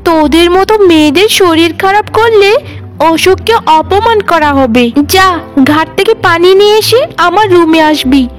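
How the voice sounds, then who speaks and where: A woman's voice: very high-pitched, a little slow, soft background music, pitched above the performer's own voice. A woman voicing a cruel, vain young sister-in-law in a cartoon, mocking and bossy. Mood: mocking, scornful.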